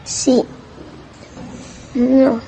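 A timid, slow-speaking little boy. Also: sits high, little pitch movement, creaky, dark tone, a little hiss or hum, heavily acted, poor audio. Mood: shy, hesitant. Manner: hesitant, pleading. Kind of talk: radio drama acting.

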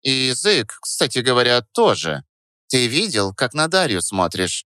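Storybook rat sidekick, played by a man: squeaky, snide, preachy, lively swooping delivery, very dry studio sound. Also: unhurried, no room sound. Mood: snide teasing. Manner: snide, mock-grand teasing. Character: sarcastic know-it-all male rat, teasing philosopher sidekick. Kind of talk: audiobook character voice.

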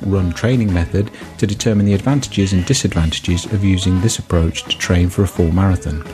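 A man reading a scripted promotional introduction as a podcast announcer. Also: low voice. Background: music bed.

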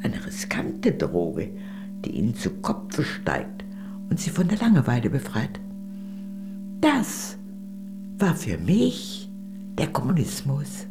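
A woman's voice: a bass voice, huge pitch swings, takes it slowly, pauses often, whispered, music in the background, exaggerated voice. An actress playing a worldly, gracious older lady, a former communist, in a radio play, speaking in a genteel, arch, confiding way. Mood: wry, confiding.

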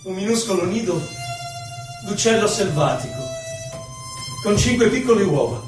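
Solemn haunted poet, cavernous echo. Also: male voice, high voice, expressive. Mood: intense, remembering. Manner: solemn, deliberate, with dramatic pauses. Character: reflective male poet recalling his life, melancholy, haunted. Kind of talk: theatrical poetry recitation. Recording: echoey hall from far off, faint music. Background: faint music, violin.

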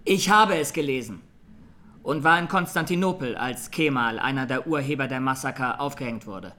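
Bright-voiced young man testifying, played by an actor. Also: medium pitch, normal speed, natural voice. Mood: sober. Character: haunted young Armenian defendant on trial, plain-spoken. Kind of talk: radio play courtroom testimony.

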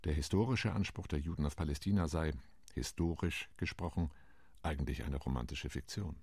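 An actor playing a Latin American UN delegate recounting committee intrigue in a radio play monologue, with a measured, ironic telling. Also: male voice, a bass voice, darkish tone, slightly rough, no echo, clean studio sound, heavily acted.

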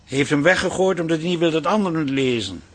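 An actor playing a reasonable middle-aged husband in a radio play, soothing and stammering, often repeating himself. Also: male voice, fairly high pitch, animated, fast, husky. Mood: patient. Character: placating, reasonable husband, Mees. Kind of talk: naturalistic Dutch radio drama acting.

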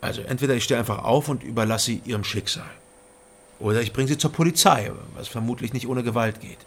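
A man's voice: medium pitch, fast, a bit bright, slightly rough. An actor playing a weary, guarded East German man who narrates and speaks in a radio crime drama, restrained and hesitant. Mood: guarded, explaining.

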